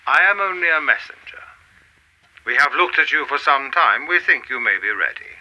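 A man playing a mysterious, coldly formal messenger in a radio play, speaking in a measured, cryptic way. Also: medium pitch, animated, highs cut off, close to an everyday voice.

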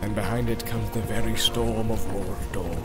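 A man's voice: fairly low pitch, steady tone, normal speed, lightly acted. A man voicing a grave, commanding old wizard in a fantasy audiobook, speaking portentously. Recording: loud music behind. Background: loud music.

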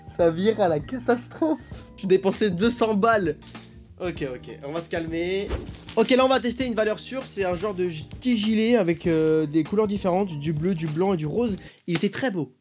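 A young man in his twenties trying on clothes for a vlog, chatty and animated, with quick reactions and self-mocking asides, speaking into a boom-arm studio mic in a small bedroom. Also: soft background music. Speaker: youtuber. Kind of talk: clothing try-on haul vlog. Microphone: studio mic on boom arm in front.

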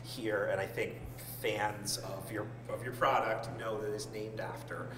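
A man's voice: fairly low pitch, steady tone, measured pace. An American man interviewing in a conversational style. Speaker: interviewer, historical society director. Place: indoor barrel room of a brewery. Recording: echoey room, clear humming background noise.

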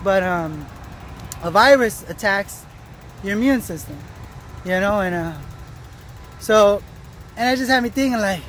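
A man in his thirties with a high-pitched voice talks casually into a phone held at arm's length on a roadside path. He rambles in slangy, repetitive bursts, often breaking off and restarting a thought. Faint outdoor traffic and ambient noise runs behind him. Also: lively intonation, measured pace, pauses often, fairly bright tone. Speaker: vlogger. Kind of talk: casual walking vlog monologue.

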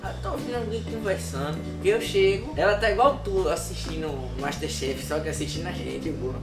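A young man, chatting and telling animated stories while cooking in a home kitchen. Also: fairly high pitch, brisk. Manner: animated, lively storyteller. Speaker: cooking vlog host. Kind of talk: casual chat while cooking. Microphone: camera-mounted shotgun mic. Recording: echoey kitchen with music underneath.

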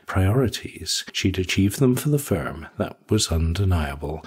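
A man narrating a scripted short story for an audiobook, with a husky, rough-edged voice. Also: low voice.

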